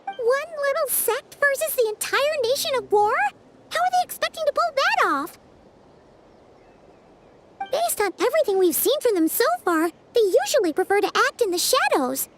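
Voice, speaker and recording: Tiny female fairy sidekick for a game: very high, squeaky, animated, inquisitive; some background noise. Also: normal speed. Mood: curious, concerned. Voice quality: squeaky, childlike. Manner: exclamatory, refers to herself by name. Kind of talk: animated video game voice acting.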